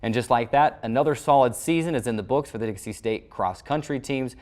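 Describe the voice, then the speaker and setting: A man's voice: mid-range voice, a little fast, a little mellow. A man hosting a sports show, delivering recaps and interview questions as a play-by-play announcer.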